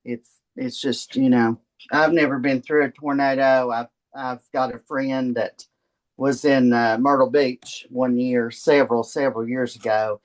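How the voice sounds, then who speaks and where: Mid-pitched man, casual and halting. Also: steady tone, rough recording. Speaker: participant in the conversation, stage performer. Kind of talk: casual chat.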